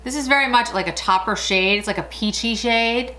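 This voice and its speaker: A woman's voice: fairly high pitch, a little fast, pushed and bright. A woman in her late forties chatting casually through a makeup tutorial, rambling and laughing often. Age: 40s. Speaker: beauty vlogger.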